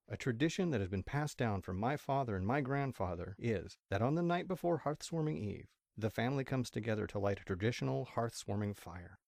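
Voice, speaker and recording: A man playing a proud, warm-hearted pony father making a measured, ceremonial speech in an amateur audio drama. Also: lowish voice, gravelly, no echo, studio quality.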